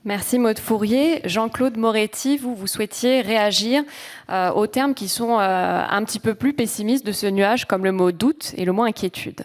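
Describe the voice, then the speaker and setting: A woman's voice: medium pitch, normal speed. A woman moderating a round table, thanking speakers and putting questions to them. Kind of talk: moderating a panel discussion.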